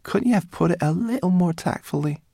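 A man's voice: deep voice, normal speed, vocal fry, dry recording, studio quality. A man voicing a grumpy female brownie in a storybook, sarcastic and scornful. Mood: sarcastic muttering.

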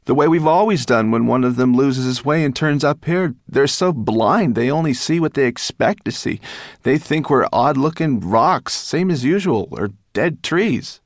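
A man's voice: mid-range voice, lively intonation, moderate pace, dry recording, clean studio sound, voice pushed up, lightly acted. A man voicing a timid dragon in a storybook, naive and insistent. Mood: complacent, urging. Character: timid dragon urging everyone to hide.

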